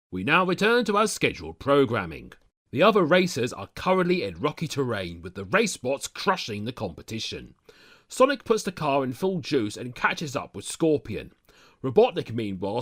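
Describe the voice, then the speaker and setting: A man's voice: medium pitch, fairly bright tone. A man reading scripted narration, retelling a cartoon adventure episode as a storyteller. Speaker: narrator of an animated-series recap.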